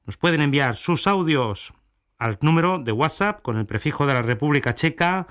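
A man hosting an international radio program, presenting with a measured, formal announcer delivery. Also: on the high side, expressive, a bit bright. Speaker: radio host.

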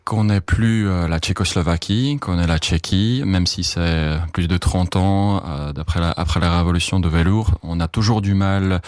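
A man's voice: low voice, steady tone, moderate pace, few pauses, no room sound, clean studio sound. A man from the Czech Republic with a Czech accent, a foreign correspondent answering interview questions hesitantly in his second language.

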